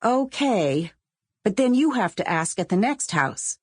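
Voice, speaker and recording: A woman's voice: mid-range voice, big pitch swings, unhurried, breathy edge, no echo, studio quality, pitched above the performer's own voice. Woman voicing a reluctant, protesting schoolgirl. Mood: grudging. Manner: hesitant, protesting. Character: reluctant, protesting eleven-year-old schoolgirl. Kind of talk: audiobook character voice.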